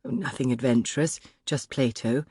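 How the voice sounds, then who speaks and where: A reader voicing a shy, gentle young man at court who speaks softly and hesitantly, lower than the narration. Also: normal speed, husky, no room sound, clean studio sound, lightly acted. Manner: soft and hesitant.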